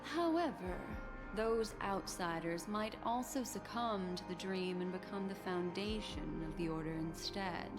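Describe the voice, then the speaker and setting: A woman playing a poised, enigmatic keeper of memories in a video game, elegant and deliberate. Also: on the low side, music in the background. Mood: cautioning. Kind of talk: video game character acting.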